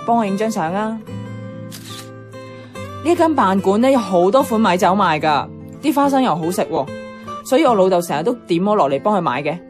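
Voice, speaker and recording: A woman's voice: mid-range voice, animated, brisk, pauses often, over music, natural voice. An actress playing a nostalgic, adventurous young woman in a radio drama, chatting with a Hong Kong Cantonese accent. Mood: fond reminiscing. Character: nostalgic, playful, adventurous young woman revisiting her childhood neighbourhood.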